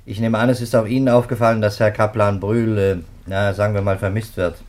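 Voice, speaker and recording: Low-voiced, courteous police detective played by a man. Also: little pitch movement, a little mellow, lightly acted. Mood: polite, brisk. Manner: brisk, polite, matter-of-fact. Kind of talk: 1950s German radio play acting.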